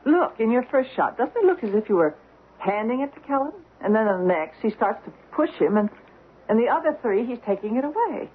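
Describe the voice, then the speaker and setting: An actress playing an insistent, conscientious young newlywed wife in an old-time radio drama, earnest and questioning. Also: female voice, on the high side, sing-song, fairly quick. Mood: curious. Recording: tinny old radio broadcast with hiss.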